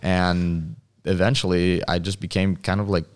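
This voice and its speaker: A man's voice: low voice, level tone, normal speed, clean tone. A man from Mexico in his thirties, a guest on a comedy podcast, chatting casually with self-deprecating humor and frequent laughs. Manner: self-deprecating, laughs often, rambling restarts.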